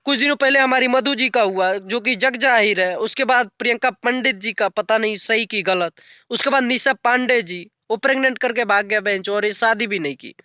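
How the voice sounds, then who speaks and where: A man's voice: extremely high pitch, moderate pace, pushed and bright, clear, resonant tone, dry recording, dull audio. A young man giving an unscripted, casual commentary rant in a blunt, colloquial way with crude slang. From rural north India. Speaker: YouTube commentator.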